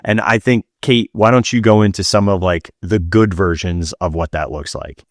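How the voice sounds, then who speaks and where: Male podcast co-host, deep voice, casual explainer with opinions, very dry recording. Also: normal speed, dry recording.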